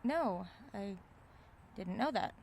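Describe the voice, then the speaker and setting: A woman's voice: mid-range voice, animated, slow, creaky, a little mellow, heavily acted. A woman playing an inquisitive, polite but stubborn young writer in an audio drama, natural and articulate with a wry touch. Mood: puzzled, polite.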